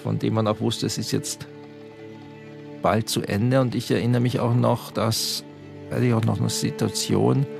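A man's voice: lowish voice, level tone, unhurried, creaky, over music. A man interviewed about the past who talks reflectively and searches for his words. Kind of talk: reminiscing interview. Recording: dry close studio recording.

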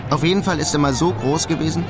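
A man's voice: on the high side, fast talker, lightly acted. Man as dry, laconic narrator over music. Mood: dry, wry. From Germany. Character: wry, resigned German immigrant man in New York. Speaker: first-person narrator. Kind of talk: radio drama narration, wry storytelling.

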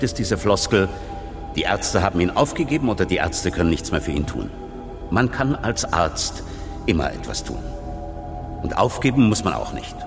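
A deep, animated male voice as an earnest hospital doctor in a radio play. He explains things deliberately and emphatically, as in an interview, over clear music. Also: exaggerated voice. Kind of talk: documentary-like interview in radio drama.

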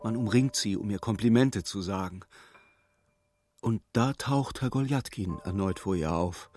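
An actor as the narrator of a literary radio play, telling the story precisely and with dramatic suspense. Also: male voice, on the low side, measured pace, a little mellow. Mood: measured, observing. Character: male storybook narrator, vivid and urgent observer. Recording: studio radio play with music.

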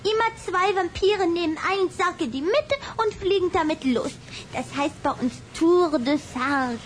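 A high-voiced little vampire girl. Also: a little slow, slight background noise. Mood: confiding, rueful. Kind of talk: German radio play acting.

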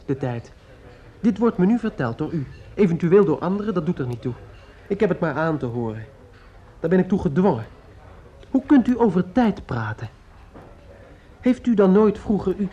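An actor playing an earnest, bitter young student in a Dutch radio drama, speaking formally and pointedly. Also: male voice, sits high, mellow. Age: young adult. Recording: dull, muffled old radio recording.

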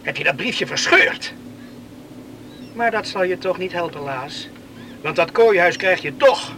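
A man's voice: high voice, lively intonation, measured pace, noisy background. An actor playing a stubborn, defiant young man in a radio play, blunt and dismissive in manner. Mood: defiant, irritated. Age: young adult.

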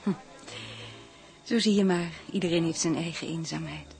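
Low-voiced, wistful young lady. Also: moderate pace, gravelly, darkish tone, faint music behind. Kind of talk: radio play dialogue.

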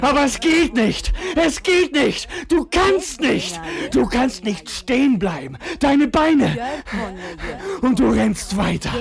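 A woman's voice: sits high, lively intonation, slow pace, gravelly, exaggerated voice. An actress in a radio drama giving an insistent, rhythmic second-person monologue that drives a young man onward. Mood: urgent.

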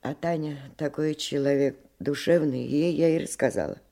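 A woman's voice: deep voice, gravelly, mellow. An actress playing an anxious, hesitant middle-aged provincial woman in a naturalistic radio play. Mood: troubled, halting. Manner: hesitant, halting. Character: anxious, grieving provincial woman with a grown son.

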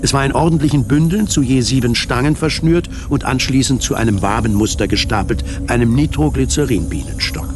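A man's voice: medium pitch, fairly quick, few pauses, close to an everyday voice. A man playing the narrator of a science-fiction radio drama, precise, composed and dry. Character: composed male storyteller of a science-fiction tale.

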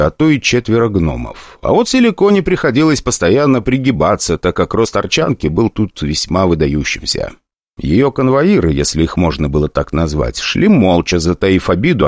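A man narrating a fantasy audiobook in an expressive, ironic storytelling manner. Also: deep voice, dry recording, clean studio sound, heavily acted.

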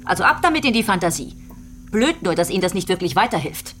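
An actress playing a shrewd homicide detective who provokes a suspect with insistent, sardonic questioning in a radio crime drama. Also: female voice, mid-range voice, big pitch swings, fast talker, fairly bright tone, faint music behind. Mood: needling.

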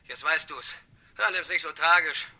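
A man's voice: on the high side, moderate pace, sharp tone, full character voice. A man playing a callous, evasive lover in a German radio crime drama, dismissive and impatient. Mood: irritated, scornful.

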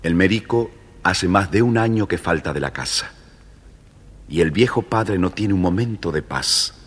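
A man's voice: low voice, animated, moderate pace, faint music behind. A man with an Argentine accent narrating a scripted children's storybook. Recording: hall reverb, faint background noise.

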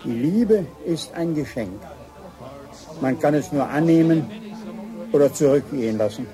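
An older actor playing a gentle, thoughtful father in a German radio play, speaking in a measured way. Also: on the high side, animated, music in the background. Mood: earnest. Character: gentle, reflective old father, loyal to daughter.

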